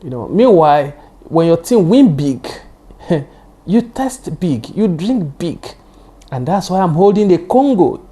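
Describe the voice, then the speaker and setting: A man's voice: fairly high pitch, sing-song, slow, warm tone. A man, Yoruba-speaking, hosting his own show and giving chatty, digressive football analysis. He repeats his points for emphasis and leans on "you know.". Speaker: football pundit and show host. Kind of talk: casual solo football analysis.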